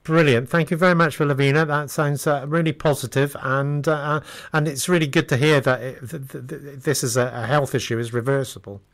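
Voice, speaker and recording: A man hosting a conversational radio interview, speaking haltingly with many hesitations and repeated starts. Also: mid-range voice, fairly even intonation. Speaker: radio host.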